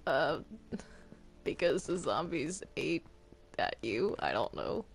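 A reluctant, sheepish cartoon demon, acted out in a Minecraft roleplay. The voice is gravelly and animated, turning indignant and muttering. It is caught on a slightly noisy home mic. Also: mid-range voice, slow pace, full character voice. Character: defensive, reluctant cartoon demon stuck with villains.

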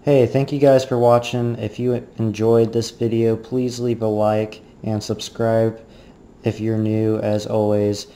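A man's voice: fairly low pitch, little pitch movement, unhurried, warm tone. A teenage man, a video creator, talking casually to the camera at home to sign off to his viewers. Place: living room couch at home, indoors. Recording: faint background noise.

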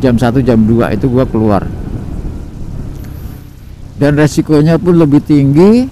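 A young man with a Jakarta slang accent rambles casually to himself on a late motorcycle ride, full of fillers and restarts. His voice sits in a middle pitch. Traffic and engine noise run clearly under the helmet-camera recording. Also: a little slow. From Indonesia. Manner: rambling, relaxed, many fillers. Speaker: motovlogger. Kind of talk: casual solo ride vlog. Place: riding a motorcycle at night on city streets. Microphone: helmet-mounted action camera. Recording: road and traffic noise behind.

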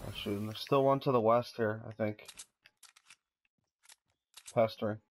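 A man's voice: fairly low pitch, fairly even intonation, a little slow. A man playing an online game, giving casual, slangy and profane callouts to his teammates. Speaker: video game podcast member playing online. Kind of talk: casual gaming chat with team callouts. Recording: faint noise and clicks on voice chat.

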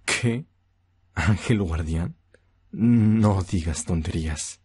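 A very low, dark voice for a scornful young fighter acted by a man in an anime-style roleplay. He is curt, grumpy and dismissive, with a husky edge. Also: drawn-out words, full character voice. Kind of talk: amateur anime-style audio roleplay acting.

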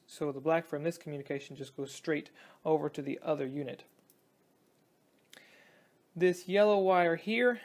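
A young man giving a casual hands-on demonstration as a hobbyist, talking in a halting, think-aloud way. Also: on the high side. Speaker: hobbyist presenter. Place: indoors at a tabletop.

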